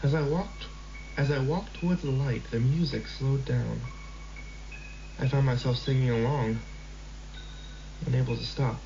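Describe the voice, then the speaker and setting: A man's voice: medium pitch, vocal fry, warm tone, slight background noise. Man narrating a horror story. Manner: measured, slow in tense passages. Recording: slightly dull lo-fi recording with music.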